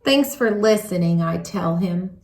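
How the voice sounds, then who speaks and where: A woman reads a children's story aloud in an expressive, animated way, leaning on words for emphasis. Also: lowish voice, measured pace, reverberant. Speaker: storybook reader.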